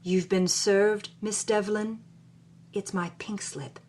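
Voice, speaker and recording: A woman's voice: medium pitch, fairly even intonation, slow pace, a little mellow, slight background noise, natural voice. A woman playing a sardonic, troubled 1940s Hollywood writer, reading her journal with theatrical expression. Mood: wry. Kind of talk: dramatic first-person reading of journal.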